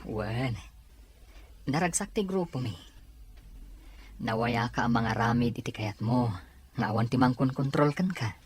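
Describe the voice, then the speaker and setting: A man playing a loyal gang member and recruiter in an Ilocano audio drama, with a husky voice. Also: mid-range voice, measured pace, long pauses. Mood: coaxing, assuring. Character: loyal young male recruiter for a rebel gang.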